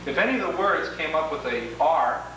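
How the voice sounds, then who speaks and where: Guy explaining software steps on an old noisy tape, super echoey. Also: fairly high pitch, animated, a little fast, far from the mic. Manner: explanatory, step-by-step. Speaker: presenter demonstrating software. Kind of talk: instructional demo narration. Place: reverberant indoor room, away from mic.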